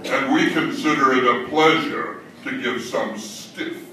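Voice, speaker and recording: An actor playing a sardonic, ghoulish host of an old-time radio horror show, speaking theatrically with dark joviality. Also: male voice, mid-range voice, slight background noise. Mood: gleefully macabre. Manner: slow, theatrical, darkly jovial. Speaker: host of a horror anthology play. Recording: roomy distant stage recording, clear music behind.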